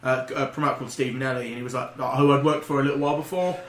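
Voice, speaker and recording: A man's voice: mid-range voice, steady tone, racing, a little hiss or hum. A man chatting casually and telling anecdotes as a podcast co-host. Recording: roomy echo.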